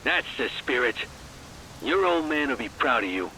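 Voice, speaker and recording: A seasoned police sharpshooter in a game drama, loyal and earnest. His mid-pitched voice is very sharp and forceful, over faint hiss and rain. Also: big pitch swings, normal speed, poor audio. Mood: steady, encouraging. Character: gruff, loyal veteran male police marksman. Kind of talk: video game drama acting.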